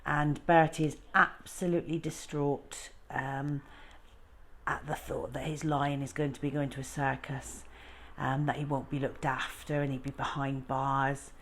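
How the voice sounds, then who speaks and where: A woman reading a children's story aloud, moving between informal chat and expressive narration as a storytime host. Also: a bass voice, a little slow, a little hiss or hum.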